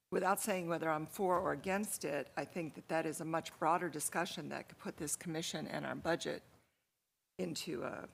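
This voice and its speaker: A woman commissioner speaking at a city meeting in a measured, deliberate way. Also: low voice, creaky, slightly rough. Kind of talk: public meeting discussion. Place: council chamber.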